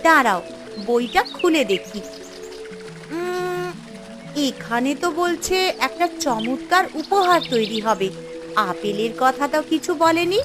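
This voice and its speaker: Woman acting devoted cartoon mother, high voice, earnest expressive fairy-tale delivery, soft music behind. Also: slow pace. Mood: puzzled, disappointed. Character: devoted mother seeking a birthday gift for her daughter.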